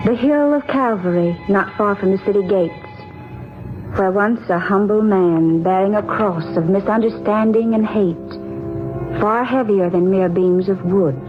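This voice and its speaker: A woman plays an earnest, reassuring American traveler in a 1940s radio drama. Her delivery is dramatic and deliberate. The old recording sounds dull, with loud music behind her. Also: medium pitch, close to an everyday voice. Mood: solemn.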